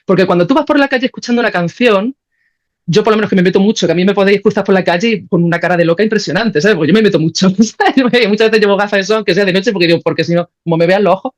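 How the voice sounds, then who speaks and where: A woman with a Spanish accent from Spain, explaining things in a casual, animated, colloquial way as a podcast guest, often checking that she is followed. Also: medium pitch. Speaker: podcast guest, sound artist.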